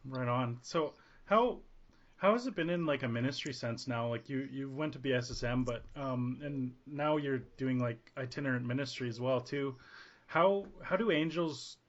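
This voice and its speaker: Relaxed male podcast host. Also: medium pitch, normal speed. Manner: relaxed, loose, with fillers. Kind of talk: casual podcast hosting. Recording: muffled lo-fi recording.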